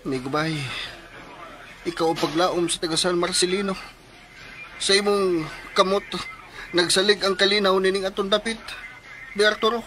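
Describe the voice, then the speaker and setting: An actor playing a proud, officious and hearty police chief in a radio drama, speaking emphatically with a Filipino accent. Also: male voice, high voice, fairly even intonation, normal speed, long pauses, sharp tone, slight background noise. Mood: admiring. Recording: music behind the voice.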